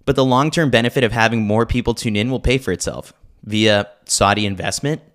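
Male host, lower register, scripted narration of a sports opinion newsletter. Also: a little fast, a bit bright. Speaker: podcast host reading a newsletter.

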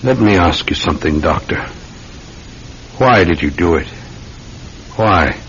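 An actor playing a polite Scotland Yard police sergeant in a radio drama, with a formal, probing manner. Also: male voice, sits low, lively intonation, unhurried, a bit bright, full character voice. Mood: grim. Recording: muffled, noisy vintage-style radio recording.

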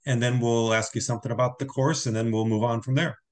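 A man hosting a podcast interview, chatting casually in a rambling, halting way. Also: medium pitch, steady tone. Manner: rambling, halting, with frequent fillers and laughs. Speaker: podcast host.

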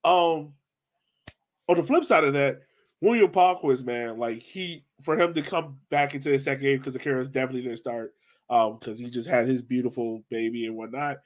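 A man with a medium-pitched voice chats as a sports podcast host. He talks in a loose, informal way, peppered with slang, as if breaking down a soccer match with friends. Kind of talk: casual chat about a soccer match.

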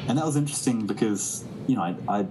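A man from New Zealand talks things through slowly in a very low voice, often circling back to restart a thought. Faint ambient noise sits under the recording. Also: animated. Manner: thoughtful, many restarts and fillers. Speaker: musician guest. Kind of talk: reflective interview talk.

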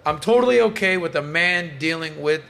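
A man with a fairly high-pitched voice leads a casual panel podcast. He talks bluntly, swears without a second thought and states his opinions flat out. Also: brisk, creaky. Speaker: podcast host.

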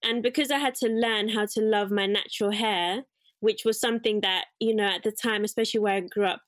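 A woman's voice: mid-range voice, steady tone, fairly quick, no room sound. A young woman from England, a guest talking about her life in a casual interview, reflective and rambling with fillers and self-corrections. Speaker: guest, curly hair salon owner.

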